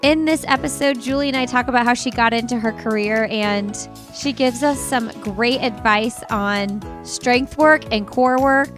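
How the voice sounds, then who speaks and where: A woman's voice: on the high side, moderate pace, fairly bright tone, soft background music. A woman hosting a parenting podcast, upbeat and enthusiastic in casual conversation. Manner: bubbly, enthusiastic. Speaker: podcast host.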